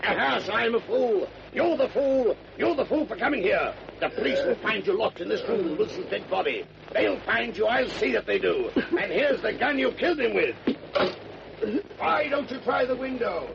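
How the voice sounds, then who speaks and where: Male villain, creaky high voice, murderous and gloating, old-time radio melodrama. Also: expressive. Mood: crazed gloating. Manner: menacing, deliberate, gloating. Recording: noisy, muffled vintage radio recording.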